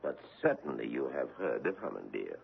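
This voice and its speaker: A gravelly, silky foreign investigator. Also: male voice, very deep voice, sing-song, a little fast, a little hiss or hum, heavily acted. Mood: suave, probing. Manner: courteous, probing, gently menacing. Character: suave middle-aged foreign male war-crimes investigator. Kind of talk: 1970s radio drama acting. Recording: tinny old radio broadcast.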